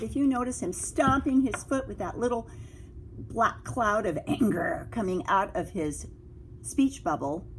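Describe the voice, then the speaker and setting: A woman with a fairly high, very animated voice reads a picture book to young children like a teacher. She exclaims and adds asides, putting questions to her listeners. There is noticeable noise behind her. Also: poor audio.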